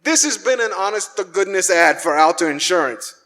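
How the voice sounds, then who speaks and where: A man with a high voice delivers comic sponsor banter as a radio co-announcer. He is joking and mock-earnest, and his voice carries through a reverberant live hall. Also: lively intonation, brisk, pushed and bright. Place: large church, live stage performance.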